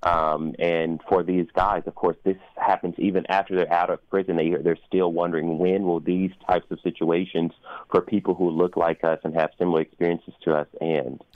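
Man, very low resonant voice, measured interview guest, slightly muffled radio sound. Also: level tone, dry recording. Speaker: opera performer and guest. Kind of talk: thoughtful interview talk.

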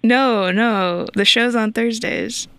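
A woman's voice: a little high, slow, gravelly, fairly bright tone, smooth, no room sound. A woman hosting a casual radio interview, giggling and laughing often as she talks. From not from the country of the show. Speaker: radio show host.

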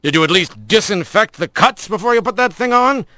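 A man voicing a stern, gruff father mouse who leads his clan, giving clipped orders. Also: high voice, animated, fairly quick, bright, forceful tone, no room sound, studio quality, voice pushed up.

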